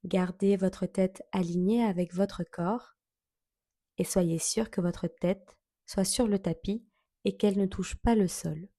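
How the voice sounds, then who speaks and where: A woman leading a guided yoga relaxation as an instructor, giving soothing, measured instructions with long pauses. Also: mid-range voice, steady tone, mellow, no room sound, clean studio sound.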